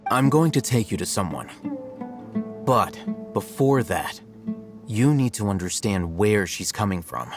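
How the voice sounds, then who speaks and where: A man voicing a calm, aloof young male scholar in a video game, measured and dry. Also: lowish voice. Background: music.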